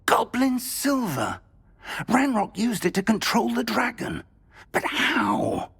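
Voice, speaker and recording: An elderly professor in a fantasy game. His voice is very raspy and slow, with lively rises of concern. He sounds grave and kindly. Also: extremely high pitch, heavily acted. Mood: grave alarm. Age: older. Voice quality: raspy, aged. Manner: grave, earnest.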